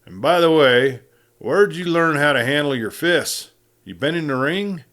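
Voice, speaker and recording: A man voicing a gruff, dry-spoken Old West sheriff with a growl and blunt cowboy talk. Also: on the high side, expressive, a little slow, fairly bright tone, pitched above the performer's own voice. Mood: easing, companionable.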